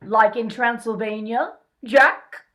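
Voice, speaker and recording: A woman's voice: a little high, takes it slowly, fairly bright tone. A woman playing a perky spokeswoman in a scripted 1940s-style radio commercial. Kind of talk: scripted vintage radio advertisement.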